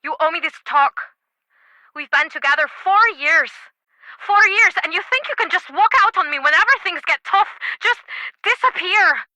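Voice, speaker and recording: A woman's voice: very high voice, animated, normal speed, pushed and bright, dry recording, full character voice, low-quality recording. An actress playing a guarded, heartbroken townswoman with an earnest, emotional delivery in a mystery video game. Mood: angry, pleading. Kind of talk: dramatic video game voice acting.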